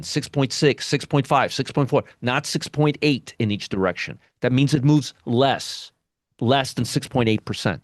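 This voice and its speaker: A man's voice: mid-range voice, dry recording, clean studio sound. A man hosting a live trading webinar, teaching options and often checking in with "you understand?" as he repeats his points. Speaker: options trading educator, show host.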